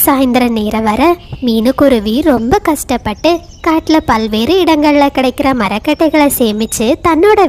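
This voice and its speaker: A woman's voice: high-pitched, a little mellow. A woman narrating a scripted moral story for children.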